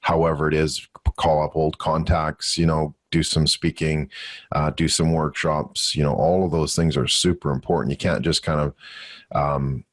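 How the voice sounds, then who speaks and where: A Canadian man with a low, deep voice, answering questions as a podcast guest. He speaks casually and good-humouredly, feeling his way through sentences with stops and restarts. The sound is close and dry, with very little room. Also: little pitch movement, no echo. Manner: halting, good-humoured, chuckles often.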